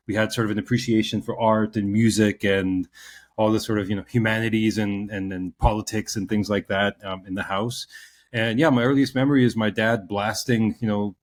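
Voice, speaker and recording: A man in his forties from Pakistan who moved to the US as a child, telling personal stories casually and haltingly as a podcast co-host. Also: fairly low pitch. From Pakistan, moved to the US around age ten.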